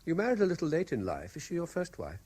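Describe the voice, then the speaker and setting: A husky, urbane British lawyer. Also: male voice, fairly high pitch, wildly swooping pitch, fast, dark tone. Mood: amused, sceptical. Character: sceptical, worldly middle-aged lawyer, formerly a policeman. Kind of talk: 1970s British radio drama acting.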